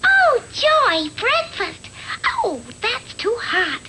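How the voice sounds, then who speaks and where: Very high, girlish cartoon Goldilocks. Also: big pitch swings, very slow, sharp tone, slight background noise, full character voice. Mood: fussy delight. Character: careless, self-pleased little girl Goldilocks. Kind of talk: vintage animated cartoon acting.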